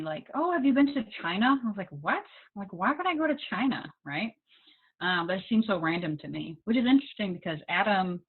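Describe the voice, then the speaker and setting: Chatty American woman on a tinny call. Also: fairly low pitch. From United States. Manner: chatty, with many ums and likes. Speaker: guest English teaching assistant. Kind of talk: casual conversation with students. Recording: tinny phone-like video call audio.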